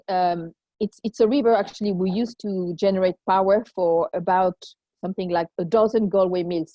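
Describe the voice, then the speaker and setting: Woman with a low, muffled voice. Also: dark tone, no echo. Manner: hesitant, many fillers. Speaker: volunteer giving a city tour. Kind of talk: informal online presentation. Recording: dry, muffled video call audio.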